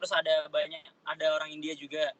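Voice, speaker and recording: A young man, a trader, answering interview questions in a relaxed, slangy, chatty way. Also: on the high side, quick pace, lo-fi sound. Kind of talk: casual interview chat.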